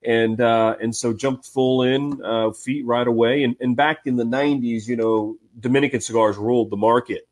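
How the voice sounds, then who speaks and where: A man from Ohio with a cold and a slightly raspy voice, a guest telling stories in a relaxed, chatty way with many fillers. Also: medium pitch, moderate pace, few pauses. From Cincinnati, Ohio, US. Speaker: cigar industry guest. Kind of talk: casual podcast interview.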